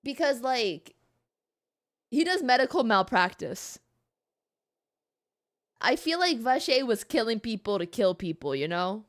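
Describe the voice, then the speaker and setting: A woman's voice: fairly high pitch, animated, measured pace, long pauses. A woman doing casual, informal commentary as she livestreams a video game. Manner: informal, conversational. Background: game music.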